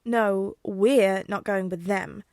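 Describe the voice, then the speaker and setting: A woman reading the lines of a calm, wry father in a measured, dry manner for a story. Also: medium pitch, dry recording. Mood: reassuring.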